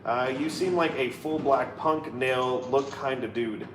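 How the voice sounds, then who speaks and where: A man's voice: mid-range voice, unhurried, echoey room, recorded from a distance, background noise. A man in his thirties chatting casually and playfully on a live stream, reading viewer comments aloud. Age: 30s. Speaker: co-host reading live chat.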